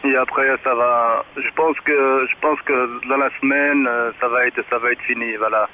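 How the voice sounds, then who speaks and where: Guy on ham radio, keeps repeating himself, halting, narrow phone-like sound w/ slight static. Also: mid-range voice, fairly even intonation. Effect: two-way radio transmission. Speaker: amateur radio operator. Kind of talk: casual radio chat. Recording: tinny radio link with clicks, faint noise.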